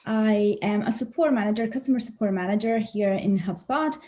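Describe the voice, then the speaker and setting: A woman's voice: mid-range voice, brisk, warm tone. A woman from Venezuela, a customer support manager, talking in a friendly, easygoing way in an interview. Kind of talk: casual interview talk.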